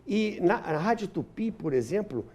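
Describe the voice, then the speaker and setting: An older Brazilian man speaks as an interview guest in an anecdotal, explanatory way. His voice sits somewhat high and is mellow, with animated intonation. He often repeats a phrase to drive it home. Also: normal speed. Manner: explanatory, acts out quotes, repeats phrases for emphasis. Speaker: interview guest, historian and author.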